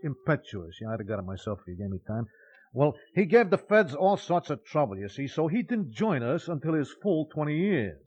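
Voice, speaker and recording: Muffled vintage-radio New wiseguy. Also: male voice, fairly high pitch, big pitch swings, fairly quick, a little mellow, husky. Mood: explanatory, wry. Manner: colloquial, mock-formal phrasing. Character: chatty ex-convict informant, dry New York underworld wiseguy. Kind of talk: old-time radio crime drama acting.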